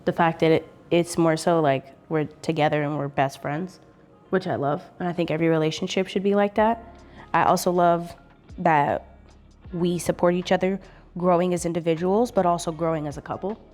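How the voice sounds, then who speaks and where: A woman's voice: on the low side, fairly even intonation, moderate pace, darkish tone, soft background music. A woman in her twenties chatting with her partner on their vlog, upbeat and earnest. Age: 20s. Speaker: co-host of couple channel. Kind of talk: casual chat.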